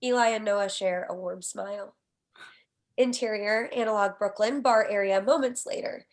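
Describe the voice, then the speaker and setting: A woman's voice: mid-range voice, normal speed. A woman reading out the stage directions of a comedy screenplay as scripted narration.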